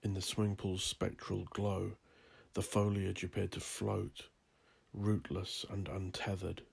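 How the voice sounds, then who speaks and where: Male horror narrator: low, husky voice, measured and brooding, restrained intonation. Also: completely flat delivery, darkish tone. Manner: slow, brooding, deliberate delivery. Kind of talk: dark horror audiobook narration.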